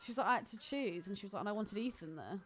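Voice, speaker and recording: A woman's voice: medium pitch, expressive, racing. A woman co-hosting a podcast in a casual chat, gossipy, blunt and emphatic, swearing freely and repeating herself for stress. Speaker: podcast co-host.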